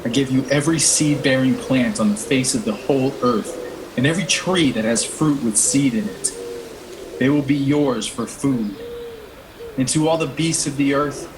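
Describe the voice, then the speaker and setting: A man playing God the Father as a calm, authoritative creator, speaking measured scripture-like lines in a radio play. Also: medium pitch, close to an everyday voice. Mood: solemn, benevolent. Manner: measured, solemn, declarative. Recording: noisy home recording, birdsong behind.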